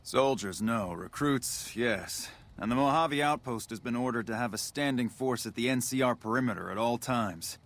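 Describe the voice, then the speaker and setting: A man's voice: mid-range voice, moderate pace, hardly any pauses, a bit bright, close to an everyday voice. Man playing a weary, dutiful outpost commander. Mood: curt, frustrated. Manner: clipped, emphatic, bureaucratic. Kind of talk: video game character dialogue.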